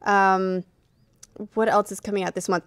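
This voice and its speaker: A woman's voice: mid-range voice, little pitch movement, normal speed, smooth, no echo. Casual woman podcaster, dry studio sound. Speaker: podcast host. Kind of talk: casual gaming chat. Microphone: close studio microphone on desk.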